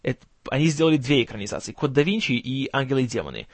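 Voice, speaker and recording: A man hosting a film news show in casual commentary, his voice strained and kept low in volume, with a gloomy, wry, sarcastic manner and some stumbling over words. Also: sing-song, fast talker, dry recording. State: strained, tired voice, can't speak loud.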